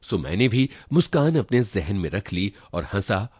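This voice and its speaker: A man narrates a literary short story in a fairly low, husky voice. His delivery is dramatic and reflective, with very lively swings in pitch, as he reads in the first person. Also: moderate pace, no echo, studio quality. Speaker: story reader.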